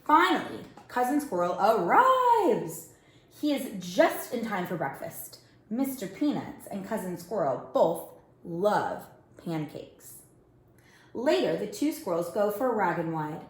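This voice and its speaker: A woman's voice: mid-range voice, big pitch swings, takes it slowly. A woman working as a storyteller reads a picture book aloud expressively, addressing young children. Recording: roomy, echoing indoor sound.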